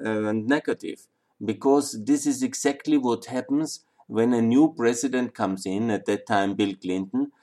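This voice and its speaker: A man from Austria with an Austrian German accent, lecturing an audience in a halting way with many fillers and restarts. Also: lowish voice, dark tone. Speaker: speaker on Balkan history.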